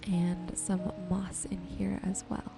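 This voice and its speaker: Slow-talking woman, ASMR gaming commentary over music. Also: on the low side, monotone, vocal fry. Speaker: gaming streamer and host. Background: music and rain sounds.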